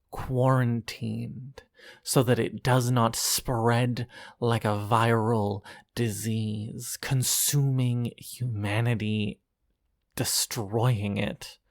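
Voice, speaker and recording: A narrator performing scripted horror fiction in a deliberate, dramatic manner, as a dying lover speaking in haunting reproach. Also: medium pitch, flat delivery, no echo, studio quality. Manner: slow, deliberate, dramatic, with pauses.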